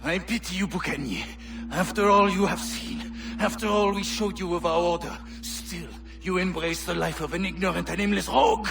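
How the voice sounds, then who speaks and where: An actor playing a haughty, scornful villain with a French accent, speaking in pompous, grandiloquent phrases in a video game cutscene. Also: male voice, high-pitched, moderate pace, creaky, hardly any pauses, recorded from a distance, over music. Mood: bitter scorn.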